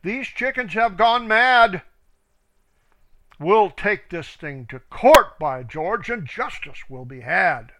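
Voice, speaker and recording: A man's voice: medium pitch, slow, hardly any pauses, a bit bright, clear, resonant tone. A man voicing an outraged, blustering wise old male duck in a rhyming story.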